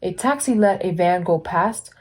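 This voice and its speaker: Woman reading a children's picture book expressively. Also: lowish voice. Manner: animated, deliberate emphasis on sound words. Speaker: volunteer children's book reader.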